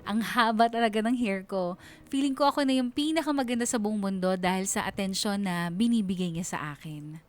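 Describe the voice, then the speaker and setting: Actress as chatty, lovestruck young Filipina narrator, music underneath. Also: female voice, mid-range voice, fairly quick, darkish tone, close to an everyday voice. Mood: gushing. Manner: chatty, gushing. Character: giddy, lovestruck young woman telling her story. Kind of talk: dramatized letter narration.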